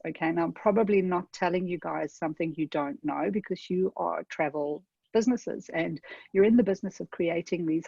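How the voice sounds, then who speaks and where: A woman's voice: fairly low pitch, vocal fry, darkish tone, husky, dry recording, dull audio. A woman from South Africa with a South African accent, giving a conversational webinar talk and checking in with her audience often. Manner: conversational, addresses audience directly with frequent "okay?". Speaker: webinar presenter. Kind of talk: webinar presentation.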